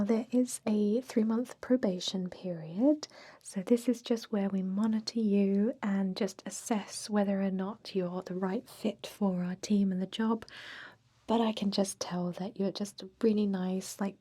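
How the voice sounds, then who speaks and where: Woman as a softly whispering, chatty vampire interviewer. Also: mid-range voice, fairly even intonation, measured pace, close to an everyday voice. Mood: warm, encouraging. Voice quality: soft, breathy whisper. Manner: gentle, chatty, encouraging. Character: friendly, chatty 250-year-old female vampire interviewing a recruit. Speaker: job interviewer. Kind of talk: whispered ASMR roleplay.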